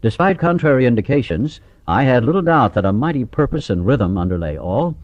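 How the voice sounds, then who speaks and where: A man reading an audiobook aloud from a script in a dramatic, expressive way. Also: mid-range voice, brisk. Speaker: amateur audiobook narrator. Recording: dull, echoey room sound.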